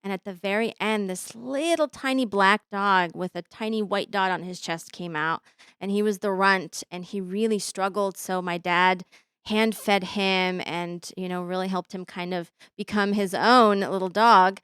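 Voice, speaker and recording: A woman's voice: mid-range voice, fairly even intonation, unhurried, fairly bright tone, clean tone, no room sound, clean studio sound. A woman in her thirties, born in Bosnia with Bosnian as her first language, telling personal stories in a thoughtful interview, with many ums and restarts. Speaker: interview guest, professor. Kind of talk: conversational interview storytelling.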